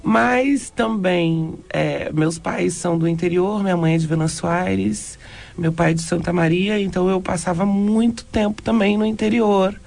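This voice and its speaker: A woman from Porto Alegre, a DJ and cultural producer, chatting as a radio guest and telling stories in a lively way. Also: lowish voice, normal speed, creaky. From Porto Alegre, Brazil. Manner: lively storytelling, laughs easily. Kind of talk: conversational interview. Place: radio studio.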